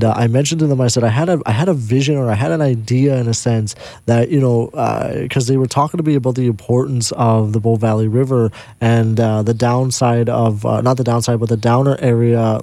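A man's voice: medium pitch, fairly even intonation, fairly quick, warm tone, no echo. A man hosting an interview, asking long, hesitant questions that stumble over words. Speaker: host. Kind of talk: conversational interview.

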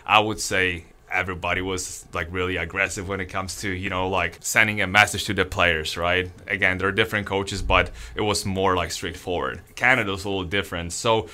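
A man from Poland speaks in a very low voice with a bright, forceful edge. He is a basketball coach in his thirties, talking casually and loosely. He keeps restarting and leaning on fillers. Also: steady tone, a little fast, few pauses. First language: Polish. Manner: rambling, many fillers and restarts. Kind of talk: casual interview answers.

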